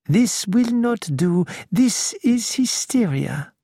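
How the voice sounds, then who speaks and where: Kindly old detective guy, done by the reader, slow careful lines, dry sound. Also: medium pitch, expressive, dry recording, voice pushed up. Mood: gentle. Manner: gentle, measured, slow. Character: elderly male detective, gentle, self-assured.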